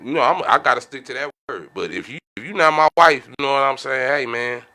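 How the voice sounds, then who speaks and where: Male panelist, mid-pitched, very lively intonation, slangy, casual chat, frequent filler tags. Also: quick pace. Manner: slangy, punctuates with you know what I'm saying. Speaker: podcast panelist.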